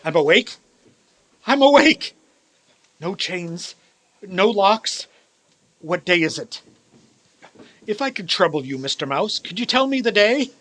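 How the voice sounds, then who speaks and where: A male actor's elderly reformed miser from a radio play. The voice is high for a man, bright and forceful. The delivery is theatrical and emphatic, with formal, old-fashioned phrasing. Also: big pitch swings, slow pace, pauses often, exaggerated voice. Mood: giddy, joyful.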